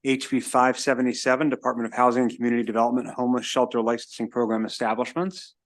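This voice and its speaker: A man chairing a legislative committee hearing, moving business along in a brisk, businesslike way. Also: mid-range voice, level tone. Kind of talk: procedural chairing.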